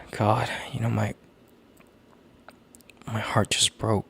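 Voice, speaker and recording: A man playing a gentle, worried boyfriend in an intimate audio roleplay, speaking in a halting, tender, hushed way with a gravelly, husky, breathy voice. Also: lowish voice, completely flat delivery, full character voice. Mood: tender, aching. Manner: halting, tender, hushed, sighing between phrases. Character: gentle worried boyfriend, relieved and heartfelt, on a call.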